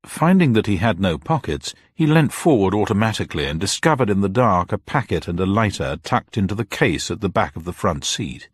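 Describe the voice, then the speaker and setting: A man's voice: sits low, breathy edge, no echo, studio quality. A man narrating a scripted mystery story in a dramatic style.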